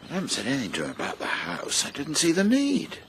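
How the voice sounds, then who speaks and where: A man playing a stubborn, proud older husband with an English accent, defensive and halting in a naturalistic radio drama. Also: a little high, wildly swooping pitch, fairly bright tone, a little hiss or hum, heavily acted. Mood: worried.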